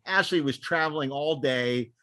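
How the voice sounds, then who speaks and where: A man's voice: fairly high pitch, moderate pace, bright, forceful tone, dry recording. A man hosting a podcast in casual, enthusiastic chat, rambling with repeated words and stop-start phrasing. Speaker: podcast host.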